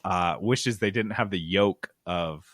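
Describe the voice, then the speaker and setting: Male podcast host, bright and punchy, dryly recorded, for casual, excitable gamer talk with plenty of swearing. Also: low voice, slow, dry recording, clean studio sound. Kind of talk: casual, animated gaming chat.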